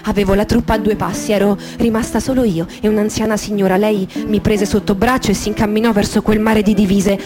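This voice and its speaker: Woman reading a measured dramatic monologue. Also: medium pitch, level tone. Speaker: actress narrator. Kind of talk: dramatic first-person literary monologue for radio theatre. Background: guitar music.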